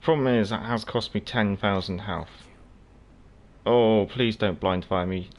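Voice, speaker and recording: A man's voice: fairly low pitch, unhurried. A South African man whose first language is Afrikaans, hosting a game livestream and chatting casually with viewers while he plays. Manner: chatty, reads out and answers viewers by name. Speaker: gaming livestream host. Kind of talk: casual livestream commentary while playing, answering chat.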